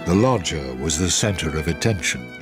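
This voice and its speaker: A man's voice: rumbling low, expressive, normal speed. A man narrating a radio drama in a scripted storytelling style. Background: music with violin.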